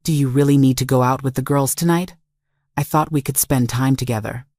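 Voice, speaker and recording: A woman's voice: rumbling low, fairly quick, dark tone, no room sound, clean studio sound. A woman voicing a menacing, controlling husband in a dramatic story, accusing and commanding. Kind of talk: dramatic story narration dialogue.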